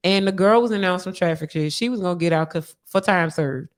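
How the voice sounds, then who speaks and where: A woman telling a personal story in casual, animated podcast style, with candid slang and frequent swearing. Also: fairly low pitch, quick pace.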